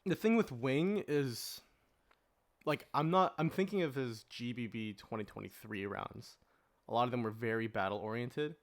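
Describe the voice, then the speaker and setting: A man's voice: mid-range voice, moderate pace. Man making his case in casual debate. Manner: argues at length with rhetorical questions, frequent filler "like". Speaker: podcast panelist.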